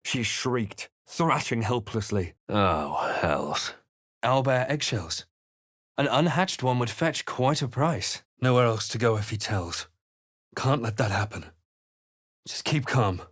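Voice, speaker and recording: A man playing a grave, watchful fantasy adventurer in a video game, narrating in the first person with measured, dramatic delivery. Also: medium pitch, a bit bright, no room sound, studio quality. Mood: wary muttering.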